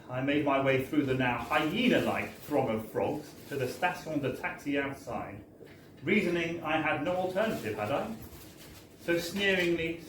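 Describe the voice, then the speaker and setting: A man giving a wry, theatrical, mock-formal reading of a comic story at a live event. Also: medium pitch. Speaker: reader of a humorous book. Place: bar, indoors, at a live book launch. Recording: echoey live bar recording, distant, faint noise.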